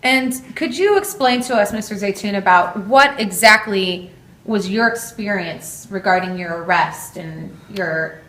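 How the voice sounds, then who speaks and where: A woman's voice: mid-range voice, unhurried, a bit bright, natural voice. Woman as polite lawyer in echoey room. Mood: polite, questioning. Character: female lawyer questioning her witness politely. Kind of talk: amateur mock trial questioning.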